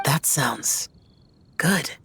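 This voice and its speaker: A man voicing a stern, reserved, youthful immortal demon slayer in a video game, speaking in a formal, measured, terse way. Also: fairly high pitch, lively intonation, bright, forceful tone, a little hiss or hum, exaggerated voice. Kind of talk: video game character acting. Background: music.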